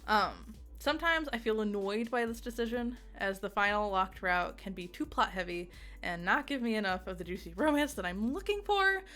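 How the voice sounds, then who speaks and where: A woman giving a casual, chatty game review, giggly and excitable, with rambling asides. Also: mid-range voice, normal speed. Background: music.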